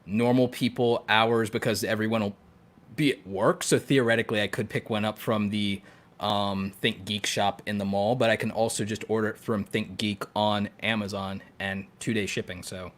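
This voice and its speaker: A man's voice: on the low side, steady tone, normal speed, fairly bright tone. A man co-hosting a podcast in casual conversation, talking with jokey, nerdy enthusiasm. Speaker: podcast co-host.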